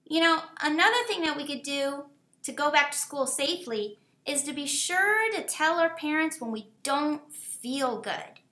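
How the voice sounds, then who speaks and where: A woman's voice: sits high, expressive, measured pace, bright, forceful tone, exaggerated voice. A woman voicing an eager, cheerful young child puppet in a children's puppet show, exclaiming in a childlike way. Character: excited, cheerful young child puppet going back to preschool. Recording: echoey room, faint background noise.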